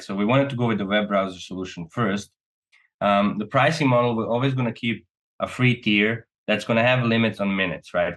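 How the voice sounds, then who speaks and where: A man's voice: lowish voice, fairly bright tone. A man from Serbia talking casually and earnestly as a company co-founder being interviewed, often checking in with "right?".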